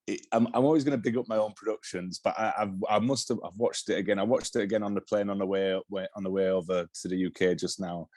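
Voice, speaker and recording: Low-voiced Yorkshire man, dry close sound. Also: fairly even intonation, fast, few pauses, a little mellow, no echo. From Yorkshire, England. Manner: rambling with many restarts and repeated words. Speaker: TV director, guest. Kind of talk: casual interview talk.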